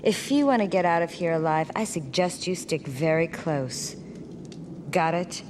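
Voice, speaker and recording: A woman's voice: on the low side, expressive, measured pace, background noise. An actress playing a curt, proud and guarded young woman medium in a video game, with a cold, dismissive delivery. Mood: stern. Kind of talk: dramatic video game voice acting.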